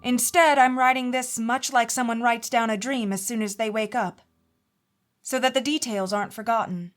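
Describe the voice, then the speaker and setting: High-pitched actress as a middle-aged mother, haunted, earnest and confiding, measured horror podcast narration, music underneath. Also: steady tone, close to an everyday voice. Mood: sober, confiding. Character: haunted mother in her forties recounting horror. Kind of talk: first-person horror story narration.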